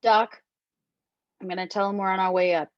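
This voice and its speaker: A woman reading the part of a brisk, businesslike crime-movie crew member talking on a phone. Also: medium pitch, steady tone, no echo, lightly acted. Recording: dull, close, lo-fi microphone.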